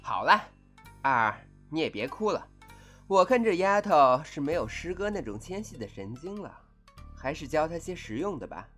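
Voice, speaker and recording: 一位男演员在广播喜剧中饰演爱指挥人、说话夸张有力的店老板。. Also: male voice, a little high, big pitch swings, normal speed, fairly bright tone, soft background music. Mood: instructing. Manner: commanding, exaggerated, emphatic. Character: bossy, showy shop boss coaching staff. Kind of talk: Chinese audio comedy drama acting.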